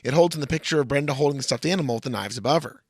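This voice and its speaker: A man's voice: medium pitch, very fast, no room sound, clean studio sound. A man co-hosting a comedy podcast, bantering and giving dramatic readings of a screenplay in a bossy, sarcastic, mock-earnest way. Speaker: podcast co-host.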